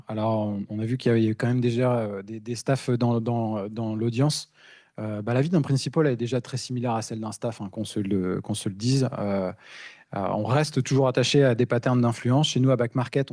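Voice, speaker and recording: Mellow man at a tech talk. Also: mid-range voice, steady tone, moderate pace, no room sound, studio quality. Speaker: principal engineer speaking on a panel. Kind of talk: informal tech conference talk.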